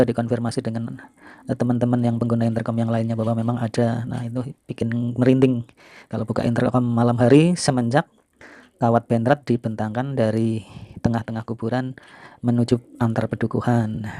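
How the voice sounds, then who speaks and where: A middle-aged man from Indonesia hosting a video, reminiscing to viewers in an informal, unhurried, explanatory way. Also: mid-range voice, flat delivery, warm tone, no room sound. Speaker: video host and storyteller.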